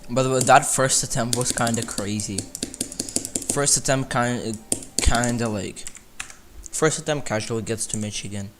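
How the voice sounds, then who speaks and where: A man's voice: mid-range voice, a little slow, background noise. A young man chatting casually to his chat during a gaming livestream, rambling and correcting himself as he plays. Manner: rambling, self-correcting, talks to chat while playing. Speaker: streamer.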